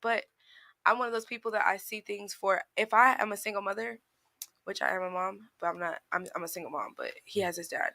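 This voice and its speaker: A woman's voice: mid-range voice, steady tone, brisk, vocal fry, sharp tone, dry recording. A woman guest on a panel, giving personal opinions in an emphatic, conversational way and correcting herself as she goes. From outside the United States. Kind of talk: casual debate, personal opinion.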